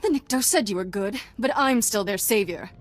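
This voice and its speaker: A woman's voice: mid-range voice, animated, brisk, close to an everyday voice. A woman acting a young fallen Jedi who leads a cult in a video game, taunting, boastful and fanatical. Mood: defiant.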